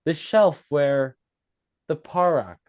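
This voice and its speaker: A man reading screenplay stage directions at a table read, halting and stumbling over words as he goes. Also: a little high, lively intonation, darkish tone. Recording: slightly dull, lo-fi sound.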